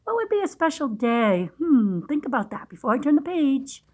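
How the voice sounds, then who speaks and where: Female storybook reader, fairly high voice, teacherly, explains simply and puts questions to young listeners. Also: big pitch swings, moderate pace. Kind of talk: read-aloud with simple explanations for young children.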